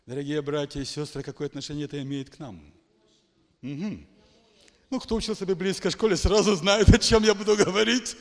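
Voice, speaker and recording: Preaching man echoing through a hall. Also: on the high side. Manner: emphatic, dramatic swings from quiet to shouting. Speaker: pastor. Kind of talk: charismatic sermon. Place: church hall. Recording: long hall reverb.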